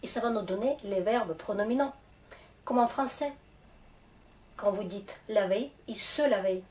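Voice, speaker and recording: Slow-speaking Russian-accented woman with a cold. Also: mid-range voice, a little mellow. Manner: slow, patient, repeats and spells out endings. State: has a cold. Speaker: Russian teacher. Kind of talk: slow, friendly language lesson.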